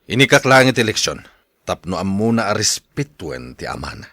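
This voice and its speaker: A man playing a domineering middle-aged father in an audio drama, scolding and confrontational, with a rough husky voice. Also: on the low side, big pitch swings, brisk, sharp tone, no room sound, clean studio sound, full character voice. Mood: furious, indignant.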